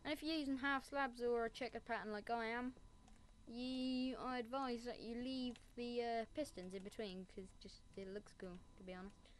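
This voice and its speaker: A woman's voice: a little high, fairly even intonation, normal speed, a little hiss or hum. A woman giving a casual Minecraft building tutorial, rambling and making self-mocking asides. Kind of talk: casual gameplay tutorial commentary.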